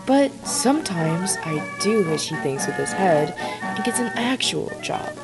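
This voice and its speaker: A woman's voice: mid-range voice, very animated, unhurried, rough recording. A woman playing a kindly, chatty, slightly scatterbrained Victorian wife in an audio drama, speaking hesitantly. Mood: worried. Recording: music under the voice.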